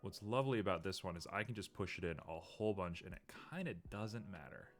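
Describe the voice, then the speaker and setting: A man's voice: deep voice, animated, fast talker, faint music behind. A man streaming live while building a 3D model, thinking aloud with frequent ums, a little sniffly. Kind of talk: casual live-stream commentary while working.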